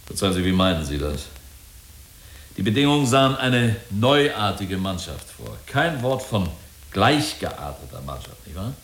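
Radio play corporate spokesman: low-voiced, polite and slick, in a reverberant room. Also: very animated, measured pace, exaggerated voice. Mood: evasive, defensive. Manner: officious, polite, wordy. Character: glib, jovial, evasive male company representative. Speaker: actor in a radio drama. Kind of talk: German radio drama acting. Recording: roomy, echoing studio scene.

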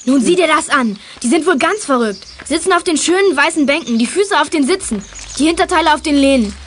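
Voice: sits high, expressive, a little fast, a bit bright. A young performer playing a hot-headed, fearless schoolkid in a children's radio play, blunt and indignant. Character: hot-headed, fearless schoolboy judo fighter scolding louts. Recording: noisy background with clicks.

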